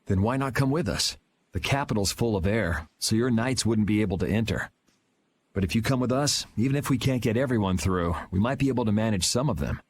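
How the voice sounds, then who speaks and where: A man voicing a cool, resolute young swordsman in a video game. His voice is lowish and his talk is blunt and steady. A faint hum of ambience sits behind him. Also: brisk, natural voice. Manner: calm, blunt and self-assured.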